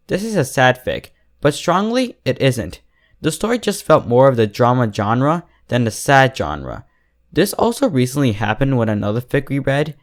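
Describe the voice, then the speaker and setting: A man speaking as the host of an online fiction review channel, giving a scripted critique straight to his viewers. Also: mid-range voice.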